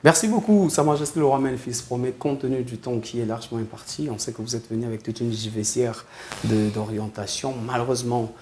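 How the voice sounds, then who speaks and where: A man's voice: medium pitch, dark tone, slight background noise. A male television journalist hosting a formal studio interview, speaking with deference and ceremony and addressing his guest with honorific titles. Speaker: TV journalist and host.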